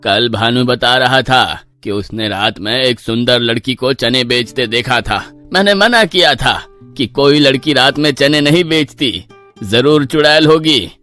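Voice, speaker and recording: An actor playing an opinionated, lecherous village uncle in a cartoon story, with an emphatic manner. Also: male voice, mid-range voice, a little slow, pushed and bright, faint music behind. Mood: scolding. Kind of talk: Hindi cartoon horror story.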